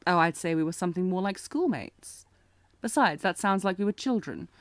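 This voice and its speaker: A woman's voice: lowish voice, lively intonation, moderate pace, lightly acted. A woman playing a sardonic, protective knight bodyguard in a fantasy audio roleplay, with a taunting, commanding, intense manner. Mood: teasing, smug. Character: sardonic, protective female knight bodyguard, old academy rival. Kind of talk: scripted fantasy audio roleplay, acted one-sided dialogue.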